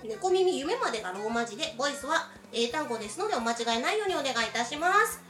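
A woman's voice: high-pitched, lively intonation, fast, a bit bright. A woman hosting a casual radio talk show, chatting and reading announcements. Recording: echoey room, distant mic, music behind.

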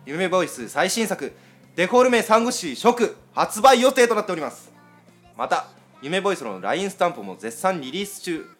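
A male radio host with a lively, moving intonation. He speaks in a casual talk-show style, switching to announcements, with some music playing behind him. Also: high voice.

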